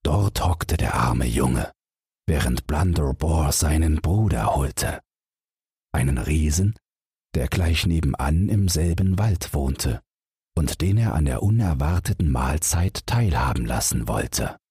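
A man's voice: extremely low pitch, expressive, slow pace, mellow, husky, no room sound, clean studio sound. A man narrating a fairy tale audiobook as a scripted bedtime story.

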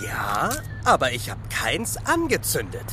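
A man's voice: mid-range voice, huge pitch swings, unhurried, big-room sound, over music. Cheeky, swooping boy fibber. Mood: defiant. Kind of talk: children's audio drama acting.

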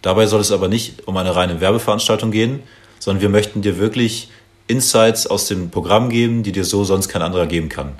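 Deep-voiced young man, podcast co-host. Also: fairly quick. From Hamburg, Germany. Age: 20s. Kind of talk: casual podcast chat.

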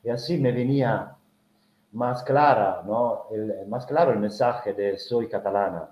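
A man's voice: fairly low pitch, unhurried. Middle-aged Italian-accented man, Italian native, hesitant storyteller, roomy and muffled recording. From Italy. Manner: hesitant, reflective, many filler sounds. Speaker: guest. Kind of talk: personal storytelling in an interview.

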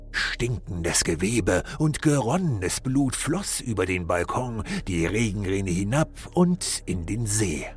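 Guy as fairy tale narrator, slow and mock solemn, very expressive melody, bit of music behind. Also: on the low side. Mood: dramatic, grandiose. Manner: dramatic, mock-solemn, measured.

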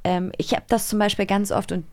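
A woman's voice: mid-range voice, little pitch movement, a little fast. A woman co-hosting an interview, chatting casually and colloquially and thinking aloud as she puts her questions. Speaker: co-host, interviewer. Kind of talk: casual chat.

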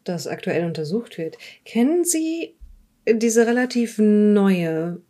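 A woman playing a police investigator in an audio drama, questioning a witness in a measured, polite and formal way. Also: medium pitch, expressive, dark tone. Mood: probing, formal. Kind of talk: improvised in-character audio drama dialogue.

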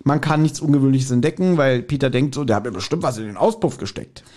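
A man's voice: medium pitch, fast talker, a little mellow. A man chatting casually as a podcast co-host, retelling an audio drama and slipping into acted quotes. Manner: acts out quoted lines while retelling. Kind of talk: casual chat recapping an audio drama episode.

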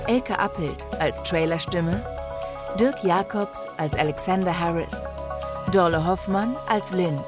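A woman performing as the solemn narrator of a fantasy audio drama trailer, reading a script. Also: on the low side.

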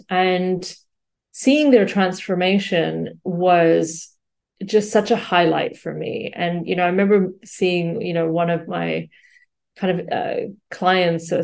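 A woman's voice: on the low side, vocal fry, darkish tone. A woman in her forties who grew up in Singapore, a guest life and career coach telling her story in a reflective way, with many fillers and restarts, over a remote call mic. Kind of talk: conversational storytelling.

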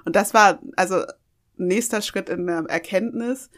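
A woman's voice: medium pitch, unhurried, fairly bright tone. A woman in her thirties, a podcast guest, speaking reflectively and emphatically, dropping English phrases into her talk. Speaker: interview guest. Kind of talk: podcast conversation.

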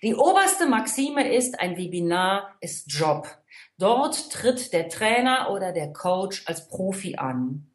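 A woman's voice: mid-range voice, sing-song, slow. A woman from the Rhineland, a trainer and coach, explaining her views emphatically and checking in with the listener. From Rhineland, Germany. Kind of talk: conversational expert talk. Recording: echoey room.

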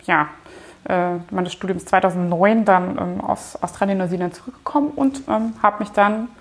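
Female, thirties, mid-pitched; casual interviewee who hedges and thinks out loud. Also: moderate pace. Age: 30s. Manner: informal, hedging, thinking aloud. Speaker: company founder and managing director, interview guest. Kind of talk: casual interview answers, looking back.

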